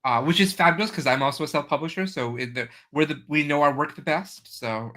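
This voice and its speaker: A man hosting a casual video-call interview, enthusiastic and halting, with many fillers. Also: fairly high pitch, sharp tone. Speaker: podcast host.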